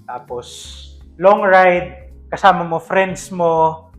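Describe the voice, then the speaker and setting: A man's voice: high voice, slow pace, fairly bright tone, faint music behind. A man with a Filipino accent telling stories casually as a podcast co-host, mixing in English.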